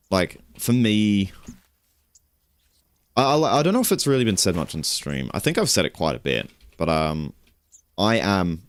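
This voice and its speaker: A young man streaming and chatting casually. He rambles in a relaxed way, with little chuckles and self-corrections. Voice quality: low voice. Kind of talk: casual stream chat while gaming.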